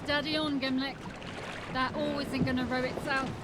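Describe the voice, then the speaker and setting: A woman's voice: high-pitched, flat delivery, normal speed, noisy background, lightly acted. An actress playing a practical, matter-of-fact female watch corporal in a fantasy audio drama. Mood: dry. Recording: loud music over the voice. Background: loud music.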